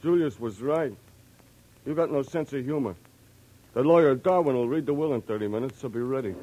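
A man playing a cocky, touchy secretary in a 1940s radio drama, wisecracking in slang with a husky voice. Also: medium pitch, brisk, warm tone, slight background noise, lightly acted, poor audio. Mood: boastful, scornful. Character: cocky, touchy male secretary and prankster's admirer. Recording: vintage 1940s radio recording.

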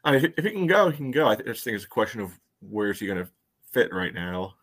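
A man co-hosting a sports podcast, giving his views on soccer in a measured, matter-of-fact, conversational way. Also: lowish voice, sing-song, a bit bright, slightly rough. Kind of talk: casual soccer chat.

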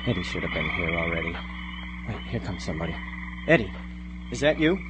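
Worried young guy acted by a man, lowish earnest voice, radio play, hummy noisy recording. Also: sing-song, fairly quick. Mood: anxious waiting. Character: anxious young son yearning to be himself. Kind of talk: live amateur radio drama acting.

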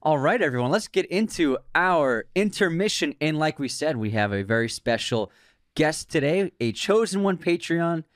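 A man co-hosting a casual movie podcast, reading a sponsor spot briskly and joking with his co-hosts. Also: a little high, expressive, no echo, clean studio sound. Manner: reads the sponsor spot briskly, then jokes and laughs. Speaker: podcast co-host.

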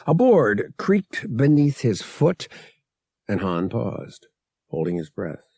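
Gravelly, expressive man narrating an adventure audiobook. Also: medium pitch, slow pace, no echo, studio quality. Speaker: audiobook reader. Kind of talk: dramatic scripted narration of an adventure novel.

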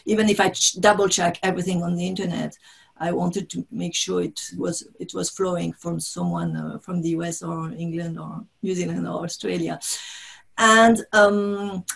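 A woman whose first language is French, speaking English as an author guest in an interview over a video call, halting and restarting often. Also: on the low side, fairly even intonation, vocal fry, breathy edge. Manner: halting, restarts often, laughs between thoughts. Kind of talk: conversational interview.

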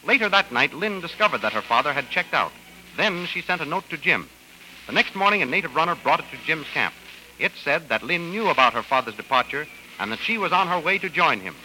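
High, rapid-fire old-time radio announcer man. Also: very animated, pushed and bright, slight background noise, rough recording. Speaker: announcer, narrator. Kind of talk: 1930s radio serial narration, dramatic.

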